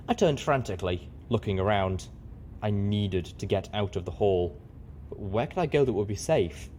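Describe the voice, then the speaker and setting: A man's voice: on the low side, dark tone, a little hiss or hum. A man reading scripted first-person fiction as the narrator of an audio story.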